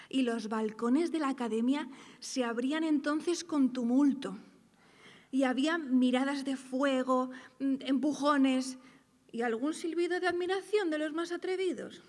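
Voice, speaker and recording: An actress playing a coquettish, wistful young single woman of 1890s Madrid in a theatrical radio-play monologue, telling her story coyly and deliberately. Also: sits high. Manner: expressive, coy, deliberate storytelling with drawn-out phrases. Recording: echoey hall reverb, live reading.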